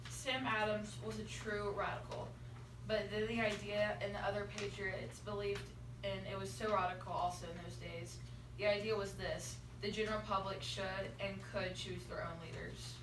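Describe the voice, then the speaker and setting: A woman's voice: mid-range voice, level tone, normal speed. A young teenage girl reading scripted narration aloud for a school play, recorded in a classroom. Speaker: student narrator. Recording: echoey classroom, far from mic, noisy.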